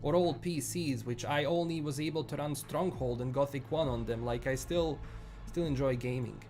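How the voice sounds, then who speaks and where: A man's voice: medium pitch, normal speed, darkish tone, soft background music. A man with a Bosnian accent chatting casually on a live stream, rambling and restarting his sentences often. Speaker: livestream host.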